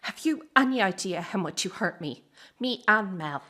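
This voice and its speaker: A woman's voice: mid-range voice, expressive, normal speed, sharp tone. A young woman acting in a radio drama as a hurt, resentful grown daughter, blunt and reproachful with her mother. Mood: cold, hurt. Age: young adult.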